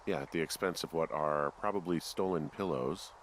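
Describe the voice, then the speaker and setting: An actor playing a wry, easygoing fire lookout in a video game, talking in a dry, self-deprecating, casual way. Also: male voice, a bass voice, normal speed, slight background noise. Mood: reflective, wry. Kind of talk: naturalistic video game dialogue.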